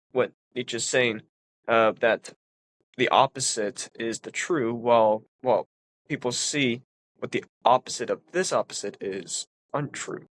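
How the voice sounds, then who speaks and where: A man's voice: mid-range voice, a bit bright. Congested man explaining philosophy. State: congested, sniffly. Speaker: philosophy commentator. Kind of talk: reading and analysis of a philosophy text.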